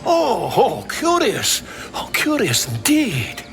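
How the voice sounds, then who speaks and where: Pompous scholar, hugely swooping intonation, forceful bright voice, theatrical, verbose, game character. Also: male voice, high-pitched, huge pitch swings, takes it slowly, faint music behind, full character voice. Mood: delighted. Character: flamboyant, pompous, excitable male scholar and chronicler. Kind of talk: video game character acting.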